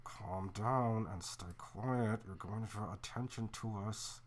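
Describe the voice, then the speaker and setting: Someone doing a low, hushed young man. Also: unhurried, lightly acted. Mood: hushed urgent. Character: quiet, steady young man protector.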